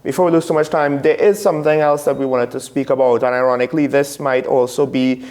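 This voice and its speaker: Political talk host, mid-pitched voice, poses probing questions. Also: fairly even intonation, fast, darkish tone. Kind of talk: political talk show interviewing.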